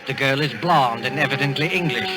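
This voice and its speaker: Dramatic old-time radio announcer, music behind. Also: male voice, mid-range voice, rough recording. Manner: measured, suspenseful delivery. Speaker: announcer and narrator. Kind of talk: dramatic 1940s radio narration.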